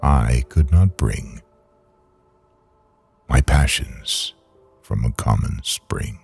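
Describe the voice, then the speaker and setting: A man with a gravelly, raspy voice reciting solemn poetry dramatically, brooding, in halting phrases with long pauses. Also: a bass voice, lively intonation, a little mellow, faint music behind. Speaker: poetry reader.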